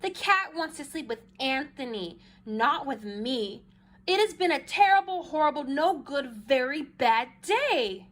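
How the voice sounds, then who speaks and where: A woman reading a children's story aloud with dramatic, emphatic expression and drawn-out phrases. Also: high voice, lively intonation, fairly bright tone. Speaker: storybook reader. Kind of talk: expressive children's read-aloud in a child narrator's first person.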